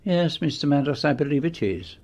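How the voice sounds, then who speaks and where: A man acting in a radio mystery drama as a shrewd, methodical detective who speaks precisely and deliberately, with theatrical pauses. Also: a little high, expressive, a little mellow. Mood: probing. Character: shrewd, methodical detective explaining his solution.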